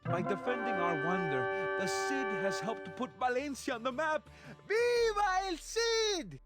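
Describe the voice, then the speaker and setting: Very high-pitched medieval townsman. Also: big pitch swings, measured pace, over music, heavily acted. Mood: triumphant. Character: medieval townsfolk and monks hailing a hero. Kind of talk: dramatic video game dialogue.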